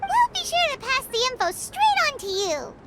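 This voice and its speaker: A woman voicing a tiny, chatty, childlike fairy girl in a video game, squeaky, perky and earnest. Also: big pitch swings, normal speed, over loud music. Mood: determined, loyal. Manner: refers to self in third person, perky, emphatic. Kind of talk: video game cartoon character acting.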